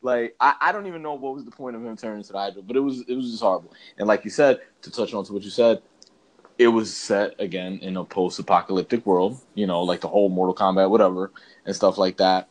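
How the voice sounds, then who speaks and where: Low-voiced man in a slangy rant. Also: fast talker. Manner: emphatic, slangy, repetitive. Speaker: podcast co-host. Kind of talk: casual chat ranting about films.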